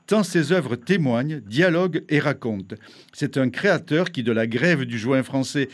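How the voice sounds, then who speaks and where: A man hosting a radio interview, reading a scripted introduction in a measured, rhetorical way and then asking questions. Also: medium pitch. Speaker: radio host.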